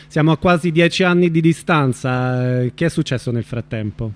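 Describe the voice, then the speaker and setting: A male radio host with a fairly high voice for a man. He interviews guests informally and tends to hesitate as he shapes his questions. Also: normal speed.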